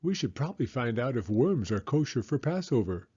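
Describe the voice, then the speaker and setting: A man's voice: medium pitch, sing-song, a little fast, mellow, husky, highs cut off. A man voicing a patient, encouraging father in a storybook reading. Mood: playful.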